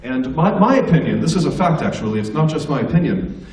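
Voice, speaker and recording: A man's voice: fairly high pitch, big pitch swings, fast, darkish tone, recorded from a distance. Joking American man in an echoey hall. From United States. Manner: joking, teasing the audience. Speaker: guest speaker, stand-up comedian. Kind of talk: stand-up storytelling to students. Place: school assembly hall.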